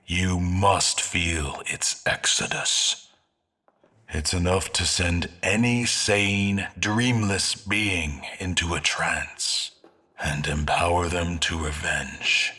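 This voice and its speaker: A man playing a brooding, grandiose schemer in a fantasy audio drama, with solemn, ornate, deliberate delivery and a husky, breathy voice. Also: low voice, sharp tone, full character voice. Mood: solemn, prophetic.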